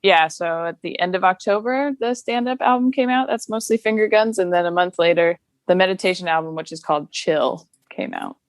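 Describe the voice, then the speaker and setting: A woman's voice: on the low side, brisk, hardly any pauses, no echo. Giggly woman comedian, dry close sound. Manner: chatty, laughs often, bubbly. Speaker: guest comedian. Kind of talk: casual podcast chat over video call. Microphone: remote video call mic.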